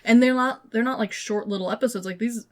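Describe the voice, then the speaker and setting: A woman's voice: fairly high pitch, fast. A woman co-hosting a podcast, chatting casually and informally about entertainment. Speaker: podcast co-host. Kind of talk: casual movie discussion.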